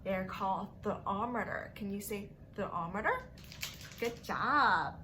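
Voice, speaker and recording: A woman's voice: medium pitch, expressive, a little slow, pushed and bright, a little hiss or hum. A young woman leading a lesson for small children in a sing-song, exaggerated manner, asking questions and giving praise. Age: young adult. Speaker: children's story time teacher. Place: small furnished room at home.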